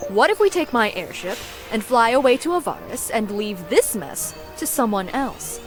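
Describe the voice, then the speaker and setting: A woman's voice: fairly high pitch, very animated, normal speed. An actress playing a sardonic, impatient young female airship pilot in a video game cutscene, blunt and teasing, over music. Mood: wry. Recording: faint music behind the voice.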